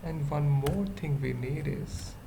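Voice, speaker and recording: A person thinking aloud through an unscripted coding tutorial, murmuring short halting fragments with long pauses. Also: medium pitch, gravelly. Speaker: web developer giving a tutorial. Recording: noisy room with keyboard typing. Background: computer keyboard typing.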